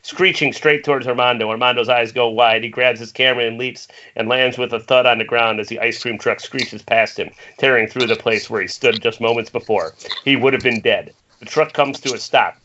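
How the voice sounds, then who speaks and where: A man's voice: mid-range voice, fairly even intonation, brisk, hardly any pauses, bright, forceful tone, a little hiss or hum. A man reading screenplay stage directions as scripted narration over a dull internet call.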